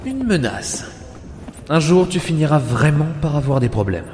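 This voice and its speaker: A man's voice: on the high side, sing-song, normal speed, a little mellow, slight background noise. Man acting a weary adventurer in echoing reverb. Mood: dry, threatening. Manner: dry, sardonic. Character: dry, weary male adventurer, gruffly sardonic. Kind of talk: audio drama dialogue and inner monologue. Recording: echoing, long reverb, music underneath.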